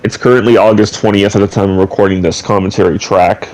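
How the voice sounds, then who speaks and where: A man's voice: fairly low pitch, fairly even intonation, fast talker, a little mellow, rough recording. A young man host giving rambling, chatty game commentary with trivia asides, his voice a little off from a slight head cold. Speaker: gaming channel host. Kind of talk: casual gameplay commentary.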